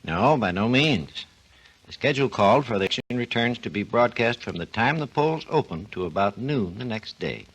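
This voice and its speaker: An actor playing a doctor calmly explaining radio history, in a 1940s radio drama. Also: male voice, mid-range voice, moderate pace, breathy edge, rough recording. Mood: recounting. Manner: calm, explanatory storytelling.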